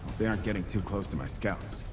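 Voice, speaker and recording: An actor playing a wary, watchful soldier in a fantasy video game, with a concerned manner. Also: male voice, lowish voice, quick pace, over music, natural voice. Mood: uneasy.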